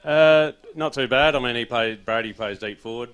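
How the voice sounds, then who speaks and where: A man's voice: mid-range voice, moderate pace, clean tone. A man with an Australian accent, an assistant footy coach chatting casually and rambling a little in an interview. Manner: relaxed, rambling, says he has a loud voice.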